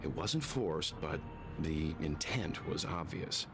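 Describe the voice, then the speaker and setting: An actor voicing a grave, wary, analytical man in a video game, speaking in a measured, deliberate way. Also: a bass voice, lively intonation, vocal fry, background noise, exaggerated voice. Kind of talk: scripted video game dialogue.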